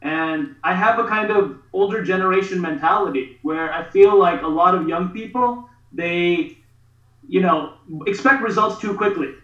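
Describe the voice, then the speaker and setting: A man's voice: high-pitched, normal speed, a bit bright. A man in his thirties from Pakistan, talking earnestly with many fillers as a guest on a video call. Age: 30s. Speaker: guest, artist promoting a campaign. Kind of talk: casual video call interview. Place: home studio room. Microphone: webcam on a video call. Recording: roomy, dull video call audio.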